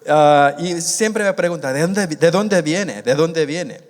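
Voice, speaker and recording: A man born in Korea and raised in the United States, preaching a sermon in a halting way, with many restarts, and stretching out the key words. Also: on the high side, animated. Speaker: missionary preacher. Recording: long hall reverb.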